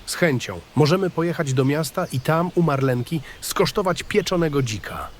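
A man's voice: a little high, animated, normal speed, a little hiss or hum, natural voice. A man voicing a good-natured, apologetic writer and country host in a fantasy audio drama, folksy, polite and eager to please. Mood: cheerful, eager.

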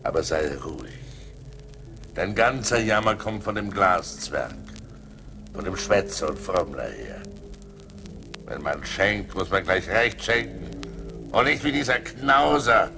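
Creaky, slow, sinister giant tempter. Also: male voice, sits low, expressive, heavily acted. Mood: scornful, luring. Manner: slow, theatrical, wheedling and scornful. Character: sinister giant forest spirit, coaxing, mocking tempter. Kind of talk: German radio play acting. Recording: 1980s vinyl radio play with music.

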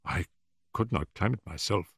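A man's voice: fairly low pitch, animated, moderate pace, vocal fry, breathy edge, no room sound, clean studio sound, heavily acted. Man playing an anxious, hesitant male secretary. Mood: nervous, worried. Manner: hesitant, apologetic, stammering.

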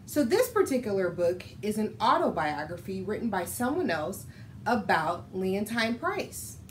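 An American woman in her thirties hosting a children's storytime, speaking in an instructive, expressive read-aloud style. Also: medium pitch, measured pace, a little hiss or hum. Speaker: children's storytime host and reader. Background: music.

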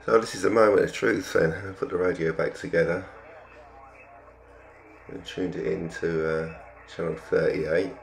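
An older man talking casually through a hobby vlog, explaining things in understated, hesitant, piecemeal phrases with a gravelly voice. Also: low-pitched, steady tone, moderate pace. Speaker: amateur radio hobbyist vlogger.

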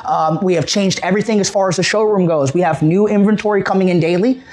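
American male repair technician, high-pitched voice, explanatory sales patter in a casual demo. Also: quick pace, a little mellow. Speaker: radio repair shop technician. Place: small cluttered radio repair shop.

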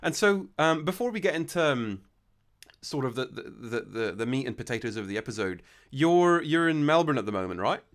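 A man's voice: medium pitch, sing-song, brisk. A young man hosting a podcast over a video call, chatting casually with lots of restarts and fillers, on a studio mic. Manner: rambling, many restarts and fillers. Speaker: podcast host. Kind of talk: casual interview chat. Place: small room, video call. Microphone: studio mic with headphones.

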